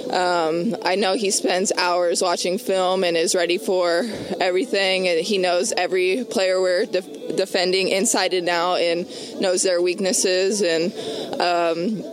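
A woman's voice: fairly low pitch, fairly even intonation, unhurried. A woman pro basketball player answering interview questions in a laid-back way with many ums, outdoors. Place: outdoor event stage. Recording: crowd noise around.

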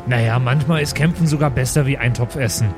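A man takes part as a player in a tabletop role-play show. He talks casually, improvising as he goes, in a medium-pitched voice. Music is clearly audible behind him. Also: fast. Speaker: player in a tabletop game podcast.

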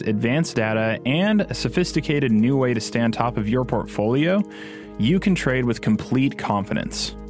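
A man reading a scripted advertisement in a polished, upbeat sales delivery. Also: medium pitch. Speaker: ad announcer. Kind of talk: scripted ad read.

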